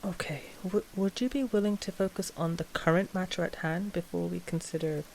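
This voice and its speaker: A woman playing a cautious, principled vampire coven master in a scripted ASMR roleplay, measured and a little exasperated. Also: lowish voice, steady tone, vocal fry, a little mellow, a little hiss or hum, poor audio. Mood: reluctant.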